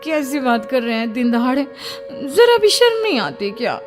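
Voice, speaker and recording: A woman's voice: high voice, big pitch swings, normal speed, music in the background, pitched above the performer's own voice. A woman voicing an anxious, bashful young village wife who protests and pleads in a horror story. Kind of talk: horror audio story acting.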